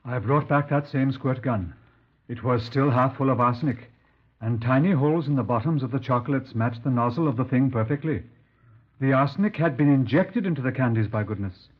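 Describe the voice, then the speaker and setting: Casting: man playing folksy Welsh police sergeant, emphatic and earnest, mid-pitched, muffled old radio sound. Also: brisk, close to an everyday voice. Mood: earnest, pleased. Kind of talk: 1950s radio drama acting. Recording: dull vintage 1950s radio recording.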